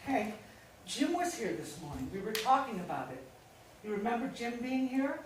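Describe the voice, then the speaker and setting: Heard from off in a very echoey room, a woman plays a middle-aged mother in a staged reading. She is fussy and placating, with brittle cheer and an animated, swooping voice. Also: fairly high pitch, moderate pace. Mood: nervous, placating. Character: fussy, nervously cheerful family mother and hostess. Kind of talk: live staged play reading. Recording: very echoey room, distant mic, hiss.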